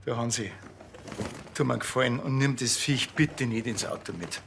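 A man's voice: medium pitch, normal speed, gravelly, background noise, lightly acted. An actor playing a dry, grumbling small-town policeman with a Bavarian accent in a TV crime comedy. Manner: deadpan.

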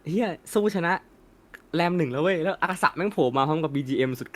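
A man's voice: a little high, fast, fairly bright tone. A young man in a casual, opinionated discussion as a co-host, talking colloquially with swearing and slang. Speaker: co-host of an anime discussion channel.

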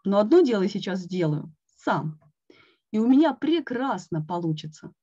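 A woman voicing a grumpy, timid male toad in a storybook reading, grumbling and quick to squeal in alarm. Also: medium pitch, very animated, warm tone. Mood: sulky. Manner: grumbling, then shrieking in panic. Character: grumpy timid male toad who loves his blanket. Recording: dull lo-fi recording.

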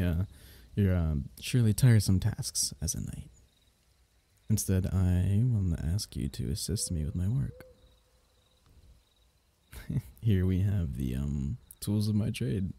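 Man as a low, mellow courtly royal diviner. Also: animated, no echo, full character voice. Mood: teasing, fond. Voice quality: mellow, gravelly. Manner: halting, teasing, soft chuckles, courtly wording. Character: affectionate, courtly royal diviner of a fantasy kingdom. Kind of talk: ASMR roleplay, intimate fantasy acting.

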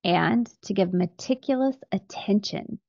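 A woman's voice: mid-range voice, unhurried, creaky, a little mellow, no room sound, highs cut off. A middle-aged woman hosting a faith podcast, explaining scripture earnestly into a close studio microphone. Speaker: podcast host. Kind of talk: faith-based podcast monologue, teaching scripture. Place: small home office with bookshelves, indoors. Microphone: close studio microphone on boom arm.

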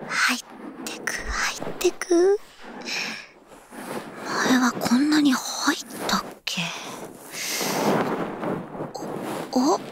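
An actress playing a brash, teasing delinquent high school girl in an ASMR voice drama, with husky, breathy, rough tomboyish speech. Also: animated, takes it slowly, background noise. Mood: soft, attentive. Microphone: close-up ASMR microphone.

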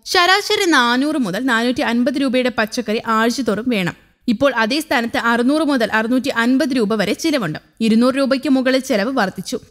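A woman with a bright, high voice and a Malayali accent reads a scripted news report. Her delivery is even, formal and steady, a clean studio voice-over. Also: normal speed. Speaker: news reader.

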